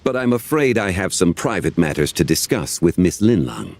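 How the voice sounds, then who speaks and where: An actor playing a calm, formal, ancient-seeming gentleman of refined taste, speaking with measured diction in a game cutscene. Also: deep voice, slight background noise.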